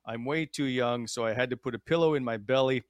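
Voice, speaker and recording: A man's voice: mid-range voice, brisk, dry recording. A man hosting a sports podcast in a casual, conversational style. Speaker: podcast host.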